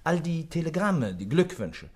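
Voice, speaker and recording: An actor playing a caring, persuasive gentleman doctor in a German radio play, solicitous in manner. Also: fairly high pitch, sing-song, a little slow, husky, exaggerated voice. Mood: coaxing. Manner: solicitous, coaxing, chuckling. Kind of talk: radio play acting and first-person narration.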